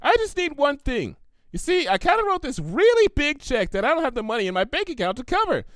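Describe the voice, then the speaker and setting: A man's voice: extremely high pitch, very animated, brisk, fairly bright tone, no room sound, clean studio sound, voice pushed up, heavily acted. A man voicing a hyperactive, cheerful young female cartoon pony with a bubbly, gushing, rambling manner. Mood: frantic pleading.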